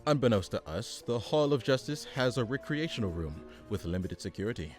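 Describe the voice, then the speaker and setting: A man's voice: medium pitch, big pitch swings, fairly quick, soft background music, close to an everyday voice. A man playing a weary, pompous supervillain mastermind running a meeting in a comedic radio play, businesslike and dryly exasperated. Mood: pompous scheming.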